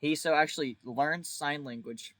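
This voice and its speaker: A man's voice: a little high, moderate pace, a bit bright, no echo. A man giving a casual, rambling game review in a blunt, jokey, informal way, leaning on words for emphasis. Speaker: video creator reviewing a visual novel.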